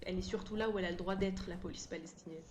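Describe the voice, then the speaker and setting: A woman's voice: on the low side, steady tone, very fast. Woman in her thirties, echoey recording. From France. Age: 30s. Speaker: interview guest, sociology researcher. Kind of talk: informal explanatory interview. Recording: roomy echo, background noise.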